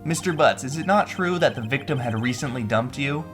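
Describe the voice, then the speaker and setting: A needling courtroom prosecutor played by a man with lively intonation. He is formal, smug and condescending in a video game dub, with music underneath. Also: medium pitch, a little fast, close to an everyday voice. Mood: smug, accusing.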